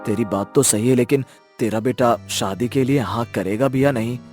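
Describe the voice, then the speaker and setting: A man's voice: medium pitch, normal speed, darkish tone, soft background music, pitched below the performer's own voice, lightly acted. A man voicing a worried, humble middle-aged father who doubts his daughter's prospects in a subdued way. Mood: doubtful.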